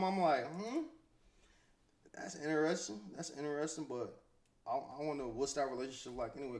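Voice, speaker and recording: A man with an American accent giving casual, off-the-cuff commentary as a host, slangy and conversational, often repeating himself. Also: medium pitch. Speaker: host commentating on hip-hop news.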